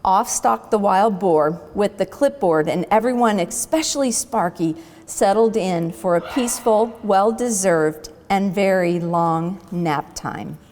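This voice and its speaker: A woman storyteller reading a children's book aloud expressively, with dramatic pauses between phrases. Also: medium pitch, darkish tone, in a large hall, a little hiss or hum.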